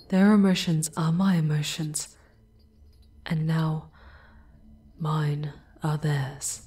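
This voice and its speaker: A woman voicing an ancient female demon, proud but remorseful, in a dramatic ASMR roleplay with hushed, halting delivery. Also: lowish voice, far from the mic, slight background noise. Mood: solemn, pleading.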